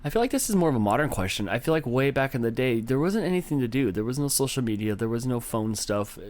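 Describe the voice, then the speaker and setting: A man's voice: medium pitch, sing-song, quick pace, a little mellow. A middle-aged American man co-hosting a podcast with casual, joking, self-deprecating banter. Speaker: podcast co-host.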